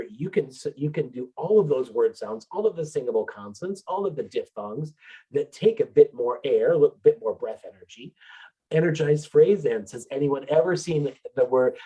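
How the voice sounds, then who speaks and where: A man giving an educational talk on singing technique, explaining in a relaxed way with filler words. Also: fairly high pitch, slightly rough, poor audio. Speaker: vocal coach presenter.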